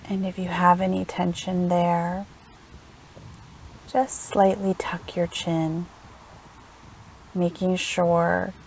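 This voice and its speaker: A woman leading a guided meditation in a gentle, soothing manner, unhurried and leaving long pauses between phrases. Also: lowish voice, a little hiss or hum, low-quality recording. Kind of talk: guided meditation, slow instructional narration.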